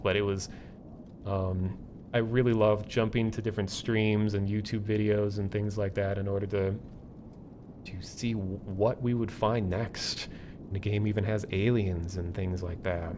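A man chatting casually as a streamer, rambling and halting as he thinks aloud. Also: darkish tone. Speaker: streamer and online community host. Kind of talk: casual livestream chat. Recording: low rumbling background noise.

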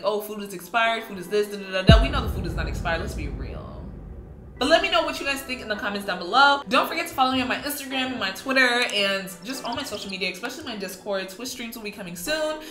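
A young woman with a bright, animated voice that swings widely as she comments. She talks in casual slang with an incredulous, expressive delivery. The room is echoey, with faint music underneath. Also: on the high side. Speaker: YouTube commentary host.

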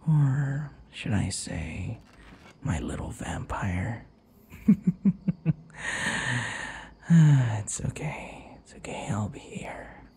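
A man playing a possessive, taunting vampire captor in an intimate ASMR roleplay, his breathy voice often dropping into whispers. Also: lowish voice, sing-song, mellow, heavily acted. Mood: obsessive, tender. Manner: taunting, slow, drawn-out endearments. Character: possessive, obsessive male vampire captor and would-be lover. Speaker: audio roleplay voice actor.

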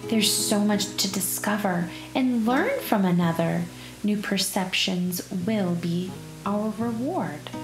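A woman narrating a rhyming children's picture book in an expressive, measured storytelling way. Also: medium pitch. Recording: roomy echo, music behind. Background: plucked guitar music.

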